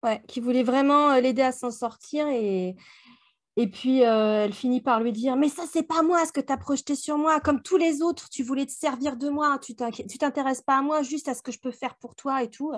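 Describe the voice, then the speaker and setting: Casting: female co-host, high-pitched voice, colloquial and vivid storyteller for a casual book discussion. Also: fairly quick. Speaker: podcast book club co-host. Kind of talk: casual chat, retelling story scenes.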